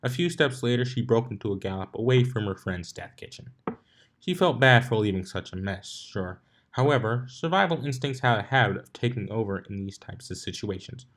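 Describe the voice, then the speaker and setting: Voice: mid-range voice, expressive, brisk. A storyteller reading fan fiction aloud as scripted narration, with occasional stumbles over words.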